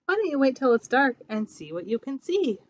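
A woman's voice: high-pitched, big pitch swings, fairly quick. Sleepy helpful blackbird from a picture book, a woman reader doing it, lo-fi sound. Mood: mild. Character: sleepy nest-loving blackbird.

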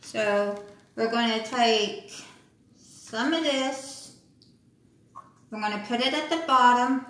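Slow, bright-voiced woman demonstrating a snack. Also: on the high side. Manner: slow, plain, halting delivery with many pauses. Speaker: cooking demonstrator. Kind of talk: casual cooking demo. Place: small indoor room with a wooden table. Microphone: handheld phone camera. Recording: echoey room, faint background noise.

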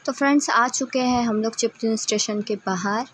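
A woman's voice: fairly high pitch, moderate pace, a bit bright. A woman narrating a casual travel vlog in a chatty way, speaking directly to her viewers. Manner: chatty, addresses viewers as friends. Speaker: travel vlogger.

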